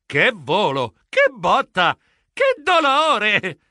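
An excitable mouse fellow, performed by a man, very high and piercingly bright, with wildly swooping pitch. He is fussy and easily flustered, whining and exclaiming dramatically in a children's audio story. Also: takes it slowly, in a large hall, full character voice. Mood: pained, complaining.

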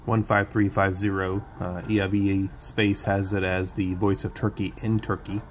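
A man giving low-key, tentative casual commentary as a radio hobbyist. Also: flat delivery, normal speed. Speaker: shortwave radio hobbyist narrating. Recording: loud radio static and hiss. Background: shortwave radio static.

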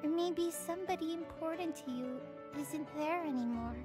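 A childlike voice telling a Bible lesson to young listeners in simple, expressive scripted speech, as the host of a children's show. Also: high-pitched, normal speed, music in the background. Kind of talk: animated scripted storytelling and teaching for children.

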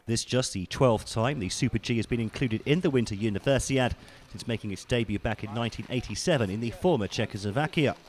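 A man giving polished, scripted voice-over commentary on ski race highlights. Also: a little hiss or hum. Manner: measured, polished broadcast delivery. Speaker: sports commentator.

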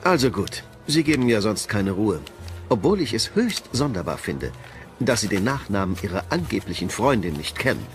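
An actor playing a stiff, pompous, easily irritated hotel receptionist in an audio drama. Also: male voice, lowish voice, very animated, normal speed, faint music behind. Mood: grudging. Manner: formal, pompous, clipped.

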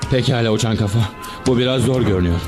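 A man's voice: medium pitch, rapid-fire, music in the background, close to an everyday voice. A man playing a harried, fretful city mayor in a dubbed cartoon, exasperated with those around him. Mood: worried. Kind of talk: dubbed cartoon character acting.

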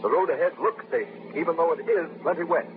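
A man's voice: on the high side, fast, noisy background. A man reading an emphatic sponsor message as an old-time radio announcer. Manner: emphatic, salesman-like. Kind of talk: 1930s radio ad read. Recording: tinny old radio broadcast recording.

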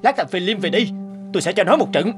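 A man voicing a stern, short-tempered father in a cartoon, scolding in a gruff, husky voice. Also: sits high, expressive, quick pace, over music. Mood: angry, scolding. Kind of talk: Vietnamese animated moral tale dubbing.

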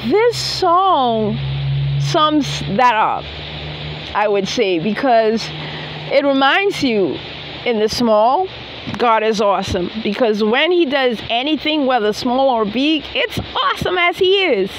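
A young woman from the Bahamas talking earnestly and slowly about her faith to a phone camera held at arm's length in an open field. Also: a little high, very animated, noisy background. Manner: earnest, unhurried, sincere faith talk. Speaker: gospel songwriter and vlogger. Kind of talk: casual talk to camera, then unaccompanied singing. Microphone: phone camera at arm's length with earphone mic.

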